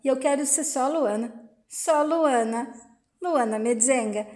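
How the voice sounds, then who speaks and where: A woman reading the lines of a gentle young woman in love in a soap recap. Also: sits high, a little slow. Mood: tender.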